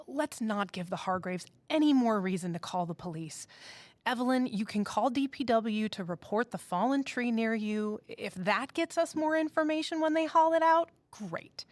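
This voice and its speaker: Actress doing a strict careful lady boss, fed up but measured, dry close mic, radio drama. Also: medium pitch, no echo, close to an everyday voice. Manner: firm, exasperated, measured. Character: stern, cautious woman boss of a conservation office. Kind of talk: audio drama dialogue.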